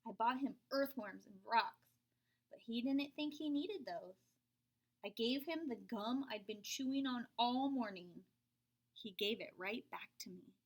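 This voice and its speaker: A woman reading a children's picture book aloud, expressive, with dramatic whispers and sudden jumps in pitch. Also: unhurried.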